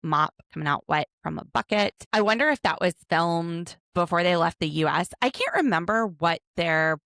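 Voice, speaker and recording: Chatty woman podcast host, gravelly. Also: fairly low pitch, animated, normal speed, a bit bright, dry recording, studio quality. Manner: chatty, laughs often, overanalyzing. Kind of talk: casual chat recapping a reality show. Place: home.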